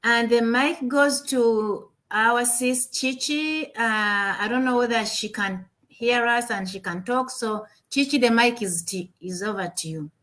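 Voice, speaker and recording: A woman's voice: on the high side, measured pace, few pauses, fairly bright tone. A woman from Uganda hosting a livestreamed business talk, upbeat, with many fillers and repeated words. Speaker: webinar host. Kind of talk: casual livestream chat. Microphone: home computer mic on a video call.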